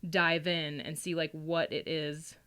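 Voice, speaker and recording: Lower-pitched woman, chatty and informal. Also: level tone, measured pace, bright, forceful tone, clear, resonant tone. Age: adult, parent. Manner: chatty, informal, frequent laughing asides. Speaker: guest, tarot and astrology reader. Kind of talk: casual chat, explaining.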